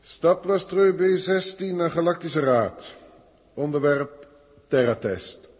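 An actor playing a haughty, impatient alien official who talks formally and pompously in a 1960s science fiction radio play. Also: male voice, a little high, animated, slow, breathy edge, full character voice. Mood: formal reporting. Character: haughty, impatient male alien senior proctor filing reports. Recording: echoey hall effect, old radio recording.

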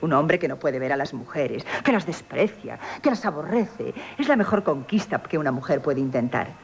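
Coquettish, lively innkeeper, hissy old radio. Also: female voice, deep voice, normal speed. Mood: teasing, triumphant. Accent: Castilian Spanish. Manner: teasing, declamatory, emphatic. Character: witty, coquettish, proud young female innkeeper, mock-humble. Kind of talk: theatrical 1970s radio comedy acting. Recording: hissy 1970s radio broadcast.

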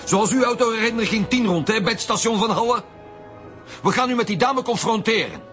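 A woman's voice: a little high, fairly quick, faint music behind, close to an everyday voice. A woman playing a stern, insistent female detective in a radio drama.

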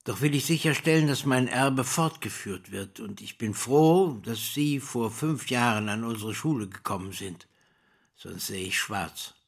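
An older male actor playing a courtly, flattering school principal in a radio drama, husky, formal and measured, with weighty pauses. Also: medium pitch, full character voice. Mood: solemn, insistent. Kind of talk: German radio drama acting.

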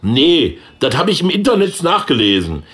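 An actor playing a brash, scheming small-town politician in a satirical radio play, blunt and cocky. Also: male voice, fairly high pitch, huge pitch swings, moderate pace, a bit bright.

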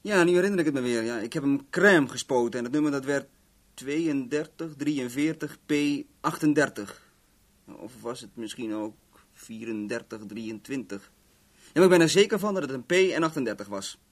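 An actor playing a cocky, talkative young garage owner in a Dutch radio play, rambling and self-assured. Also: male voice, mid-range voice, animated, moderate pace, lightly acted. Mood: frank, offhand.

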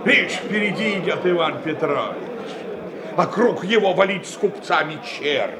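An actor playing a blunt, grumbling courtier in a radio tragedy, folksy, stubborn and sneering. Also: male voice, a little high, big pitch swings, normal speed, echoey room, background noise. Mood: excited scorn. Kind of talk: Russian stage tragedy as radio play.

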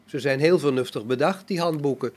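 An amateur actor in a radio play as a calm, pious robot, a man speaking in a measured, solemn, preachy manner. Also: on the high side, lightly acted. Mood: polite, serene.

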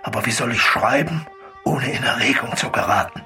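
An actor playing an agitated, pleading male writer with a gravelly voice in a radio drama. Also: medium pitch, fairly quick, bright, forceful tone, distant mic, soft background music. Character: agitated male writer, middle-aged or older, heart patient, pleading.

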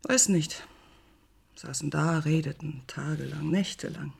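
An actress playing a resigned, wistful woman in a German radio drama, with a husky, breathy voice. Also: low voice, a little slow, darkish tone, heavily acted. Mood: bitter, resigned.

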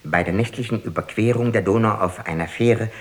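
A man's voice: on the low side, fairly quick, natural voice. An actor playing a deferential male court official in a radio drama, reporting in a formal, precise and ceremonious manner. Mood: solemn, reporting.

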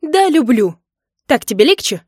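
A woman's voice: sits high, big pitch swings, unhurried, a little mellow, husky, dry recording, clean studio sound, voice pushed up, exaggerated voice. A woman voicing an indignant, hurt young wife who snaps back emphatically in an audiobook story. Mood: hurt fury. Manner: snappy, emphatic, raised voice.

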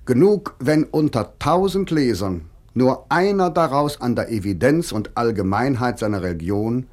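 An actor playing a weary, lonely man of letters, reading his letters aloud for radio in a measured, earnest literary delivery. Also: mid-range voice, expressive, darkish tone, natural voice. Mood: wry resolve.

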